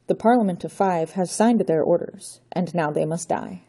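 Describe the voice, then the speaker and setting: A woman's voice: on the low side, normal speed, dark tone, breathy edge. A woman voicing a scheming aristocratic male villain count with a theatrical, menacing manner, in a raised voice.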